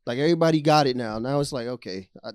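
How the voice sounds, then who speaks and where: A man's voice: mid-range voice, very fast, a little mellow, clean tone, no echo, clean studio sound. A man in his late twenties, co-hosting a podcast, opinionated and steering the talk to new topics. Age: 20s. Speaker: podcast co-host. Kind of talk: casual chat.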